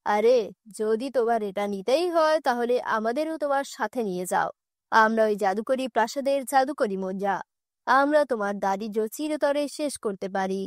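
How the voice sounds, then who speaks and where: A woman's voice: a little high, no room sound, studio quality, pitched below the performer's own voice, lightly acted. A woman voicing a talking magical treasure in a cartoon fairy tale, coaxing and insistent. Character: talking magical enchanted treasure, pushy tempter promising riches.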